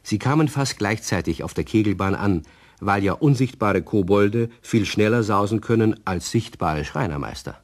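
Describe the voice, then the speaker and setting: A man narrating a children's radio play as an expressive, husky-voiced storyteller. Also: on the low side, moderate pace. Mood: storytelling.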